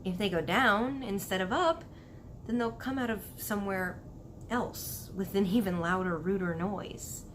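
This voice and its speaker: A woman's voice: mid-range voice, unhurried, slight background noise, natural voice. A woman voicing a small young girl in a storybook, curious and polite, asking questions patiently. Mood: reasoning.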